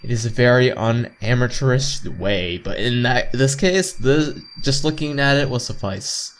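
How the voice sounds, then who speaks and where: A man's voice: mid-range voice, unhurried, slight background noise. A man reading visual novel text aloud as a let's player, stumbling over lines and repeating words, with joking asides. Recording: game music behind voice.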